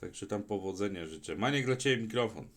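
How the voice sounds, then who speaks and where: A man's voice: fairly low pitch, lively intonation, fairly quick, fairly bright tone. A man talking casually as a CB radio operator, with a colloquial, plain-spoken manner. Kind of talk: casual chat in turns on CB radio.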